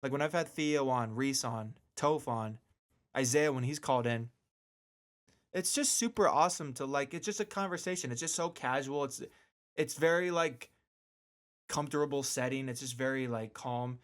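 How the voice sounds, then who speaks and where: Man, medium-pitched voice, radio host, casual monologue, informal slang, emphatic repetition. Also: moderate pace.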